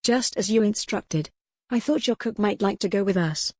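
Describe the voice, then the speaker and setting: A woman's voice: medium pitch, normal speed, warm tone, slightly rough, no room sound, clean studio sound, lightly acted. A woman voicing a proud, earnest young noblewoman in a historical romance audiobook. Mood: polite, guarded. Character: young noblewoman, proud captive wife, earnest and sharp-tongued.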